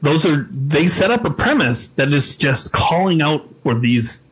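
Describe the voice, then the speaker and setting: A man with a husky, rough voice, co-hosting a casual fan discussion podcast. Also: fairly high pitch, very animated, a little slow, a bit bright. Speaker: podcast co-host. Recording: slightly dull, lo-fi audio.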